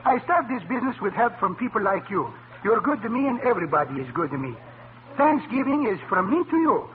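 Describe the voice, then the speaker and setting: An actor playing a humble, cheerful immigrant restaurant owner in old radio comedy, with foreign-accented broken English and a heartfelt manner. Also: male voice, high-pitched, very animated, a little fast. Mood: grateful. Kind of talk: 1940s-1950s radio comedy acting. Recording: tinny, noisy vintage radio recording.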